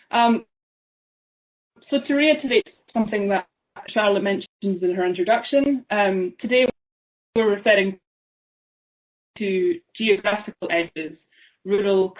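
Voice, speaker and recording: A young Scottish woman presents thoughtfully from home, pausing often with ums. Her voice sits at an ordinary middle pitch. The sound is thin and narrow, like a laptop video call. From Isle of Lewis, Scotland. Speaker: seminar speaker. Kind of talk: online seminar presentation. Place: small room at home. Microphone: laptop webcam on a video call. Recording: tinny phone-like video call.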